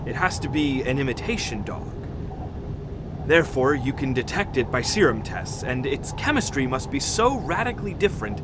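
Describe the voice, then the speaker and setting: A man's voice: sing-song, lightly acted. Grave scientist played by a man, noisy backdrop. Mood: grave, reasoning. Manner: measured, explanatory, serious. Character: level-headed, grave male polar expedition scientist. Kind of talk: audio drama acting. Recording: clear noisy rumble behind the voice.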